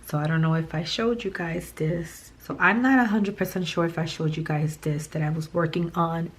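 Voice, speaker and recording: Hoarse, low-voiced woman chatting. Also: normal speed. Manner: chatty, rambling, many fillers and restarts. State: hoarse, raspy from a lost voice. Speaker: planning vlogger. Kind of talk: casual chat while planning.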